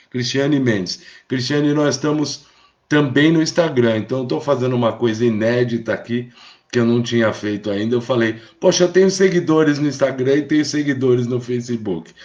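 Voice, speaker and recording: A man's voice: mid-range voice, darkish tone. A Brazilian man hosting a live stream in a casual, reassuring way, often checking in with short tag questions. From Brazil, born in Rio de Janeiro. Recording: dull, lo-fi live stream audio.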